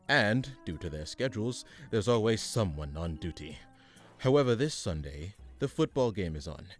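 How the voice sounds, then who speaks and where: A man's voice: on the low side, natural voice. A man playing a weary, pompous supervillain mastermind running a meeting in a comedic radio play, businesslike and dryly exasperated.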